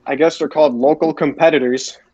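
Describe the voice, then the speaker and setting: A man hosting a podcast, giving a casual wrestling recap in a halting way, often repeating phrases. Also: fairly high pitch, steady tone, darkish tone, breathy edge. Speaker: podcast host. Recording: roomy, dull lo-fi voice chat.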